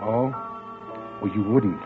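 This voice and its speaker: A man's voice: lowish voice, slow pace. An actor playing an eager, lovestruck bank clerk who narrates his own story in a folksy, daydreaming way in a 1940s-style radio drama. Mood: smitten, nervous. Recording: tinny old radio recording with music.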